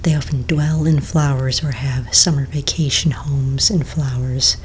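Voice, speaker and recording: A woman whispers close to the mic in a low, hushed voice, unhurried and soft. Faint hiss sits under her words in a small indoor room. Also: fairly even intonation. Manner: whispering, slow and gentle, with fillers. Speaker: ASMR roleplay potion shopkeeper. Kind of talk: ASMR whispered roleplay. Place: small indoor room before shelves of bottles.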